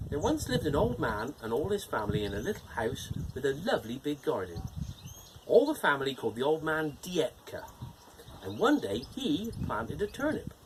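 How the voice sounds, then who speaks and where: A man's voice: medium pitch, background noise, close to an everyday voice. A man playing a cheerful, playful male gnome who hosts and reads a bedtime fairytale. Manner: animated, playful storytelling with teasing jokes. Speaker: storyteller host.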